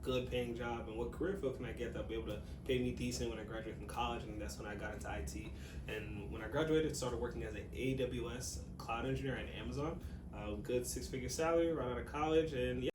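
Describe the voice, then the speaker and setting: Fast-talking young man in an echoey room. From Maryland, USA. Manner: relaxed, chatty, laughs easily. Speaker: guest. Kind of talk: casual interview. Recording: echoey room with clear rumble.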